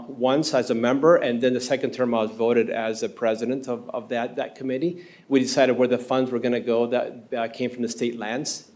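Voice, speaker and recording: A man's voice: medium pitch, darkish tone. A man in his fifties from Argentina with an Argentine Spanish accent, a city council candidate earnestly answering an interview question at a podium microphone in a council chamber. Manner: earnest, halting with frequent uh fillers.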